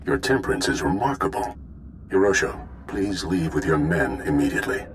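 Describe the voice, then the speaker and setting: An actor voicing a calm, stern, mature Jedi master in a video game, speaking in a measured, formal way. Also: male voice, deep voice, background noise. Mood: measured, placating.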